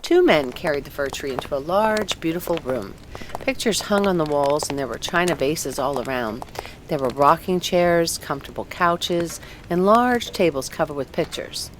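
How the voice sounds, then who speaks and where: A woman narrating a children's story aloud in an expressive read-along style. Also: sits low, normal speed, a little hiss or hum, poor audio.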